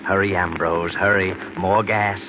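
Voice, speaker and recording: Sinister deep-voiced radio narrator. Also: male voice, fairly even intonation, a little slow, very noisy. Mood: urgent, ominous. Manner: sardonic, ominous, taunting. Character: sinister, mocking male narrator taunting a guilty man. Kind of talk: 1940s radio mystery narration. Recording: muffled 1940s radio recording. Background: prominent music.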